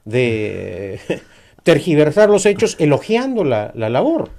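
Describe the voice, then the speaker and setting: A middle-aged Peruvian man talks politics in an interview, pressing his points hard. His pitch moves up and down a lot, and he stops often on fillers before going on. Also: very animated, takes it slowly, gravelly, warm tone. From Peru. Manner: emphatic, argumentative, many hesitations. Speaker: political guest.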